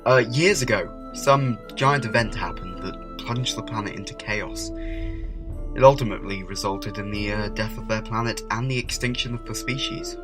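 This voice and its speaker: A man playing a distracted, wry, brooding time traveller in an audio drama, speaking haltingly. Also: lowish voice, music in the background, lightly acted. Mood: explaining. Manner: halting, hesitant, full of uhs.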